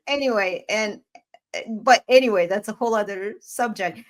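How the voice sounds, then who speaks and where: A woman co-hosting a podcast in casual chat, telling stories in a chatty, animated way and laughing often. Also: medium pitch, normal speed. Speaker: podcast co-host.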